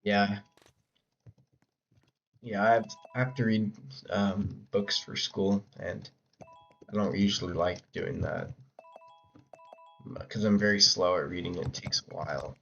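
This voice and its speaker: Hoarse young man rambling on stream. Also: lowish voice, fairly even intonation, a little slow, pauses often, a bit bright, soft background music. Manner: rambling, chatty, answers chat with fillers and restarts. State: hoarse, losing his voice. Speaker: game streamer. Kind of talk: casual live-stream chat while playing. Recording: dull lo-fi mic with faint keyboard typing.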